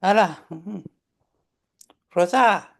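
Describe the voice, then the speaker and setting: A woman chairs an online meeting over a video call. She is brief and keeps calling on people by name. Her voice sits at an ordinary middle pitch. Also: slow pace, creaky. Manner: brief, prompting others by name. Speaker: meeting facilitator.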